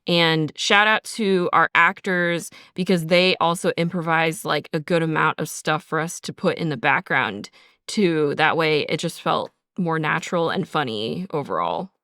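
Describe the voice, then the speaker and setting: A woman's voice: low voice, unhurried, pushed and bright, no room sound, studio quality. A woman podcast host talking casually about her craft, peppering her sentences with "like". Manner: informal, frequent "like" as filler. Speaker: podcast host and audio drama sound designer. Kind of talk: casual behind-the-scenes podcast chat.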